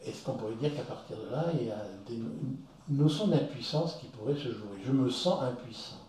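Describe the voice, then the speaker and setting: A man's voice: mid-range voice, warm tone, a little hiss or hum. Man explaining in a very echoey room. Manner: measured, explains step by step with examples. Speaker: discussion leader on psychology. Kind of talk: explanatory conversational discussion. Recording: very echoey room, distant mic.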